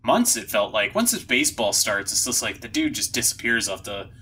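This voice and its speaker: Bright, forceful man hosting a podcast. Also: lowish voice, sing-song, brisk, breathy edge. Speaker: podcast host. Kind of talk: casual chat.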